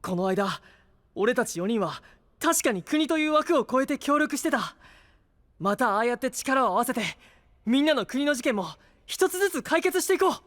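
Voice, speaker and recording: Animated, idealistic young knight. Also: male voice, extremely high pitch, moderate pace, heavily acted. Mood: eager, hopeful. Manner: sincere, eager, expressive. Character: earnest, idealistic, hot-blooded young male knight. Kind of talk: Japanese anime audio drama acting.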